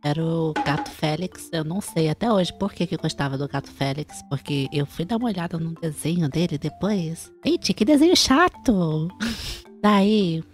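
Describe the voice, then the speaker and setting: Casual female host, Brazilian accent, low register, music underneath. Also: darkish tone. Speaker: host of an online chat show. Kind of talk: casual chat.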